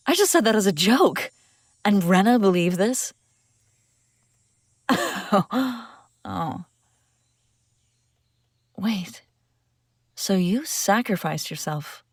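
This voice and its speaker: A woman's voice: on the low side, dry recording, heavily acted. Woman acting as commanding fugitive princess, close and dry. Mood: incredulous, dawning realization. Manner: dramatic, expressive, speaking straight to the listener. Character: escaped enemy princess, commanding, incredulous, wry. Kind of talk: immersive audio roleplay acting, one side of a dialogue.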